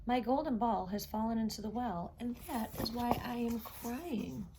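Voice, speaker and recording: A woman's voice: a little high, moderate pace, background noise, lightly acted. A woman voicing a spoiled young princess in a storybook, lofty and petulant. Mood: tearful.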